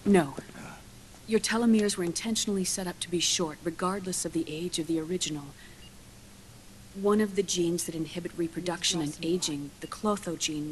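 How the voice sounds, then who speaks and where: An actress playing an earnest, grave female scientist who explains in a measured, hushed, clinical way in a dramatic video game cutscene. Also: sits low, a little hiss or hum, lightly acted, poor audio.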